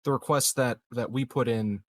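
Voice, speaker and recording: A man at a roleplaying game talks casually in an average-pitched voice. He feels his way through questions haltingly, as a player checking details. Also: fairly even intonation, fairly bright tone, no echo.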